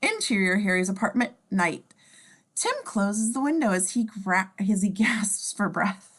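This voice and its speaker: A woman's voice: medium pitch, big pitch swings, unhurried, fairly bright tone. A woman reading scene descriptions for a table read, informal and given to wry asides. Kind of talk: scripted narration in casual table read.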